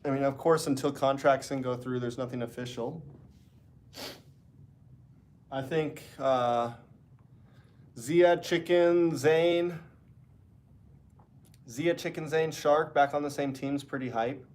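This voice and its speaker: A man with a stuffy, congested nose talks to his stream in a loose, rambling way. He sounds relaxed and chatty, drifting from one thought to the next as he speaks from a hotel room. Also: mid-range voice, takes it slowly, long pauses. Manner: rambling, relaxed, talking to chat. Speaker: esports caster. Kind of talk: casual livestream chat.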